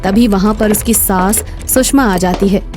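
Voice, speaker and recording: मृदु स्वर वाली महिला कथावाचक. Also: female voice, mid-range voice, a little slow, a little mellow, faint music behind. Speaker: narrator. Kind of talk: scripted story narration.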